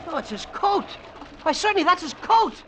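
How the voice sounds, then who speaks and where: A very high-voiced, agitated shipwrecked boatman in an old radio drama. His sarcastic, bitter delivery swings widely up and down. The old recording has clear background noise. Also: sing-song, normal speed, a bit bright, full character voice. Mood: excited, urgent. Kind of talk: 1940s-50s radio acting.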